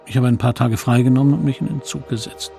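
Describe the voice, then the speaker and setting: A man playing a middle-aged narrator who wistfully recalls his childhood, measured and sombre, in a radio drama. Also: mid-range voice, vocal fry, music in the background, close to an everyday voice. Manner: measured, reflective, sombre storytelling.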